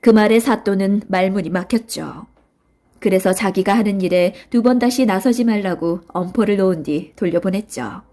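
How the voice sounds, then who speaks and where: Mellow woman narrating a children's storybook. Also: mid-range voice.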